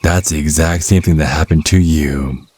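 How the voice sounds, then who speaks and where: A man playing a cocky, sardonic male vampire hunter in an ASMR roleplay, taunting with slow, deliberate phrasing. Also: steady tone, a little mellow. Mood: taunting, composed. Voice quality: husky, very deep. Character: cocky, taunting male vampire hunter, youthful but over a century old.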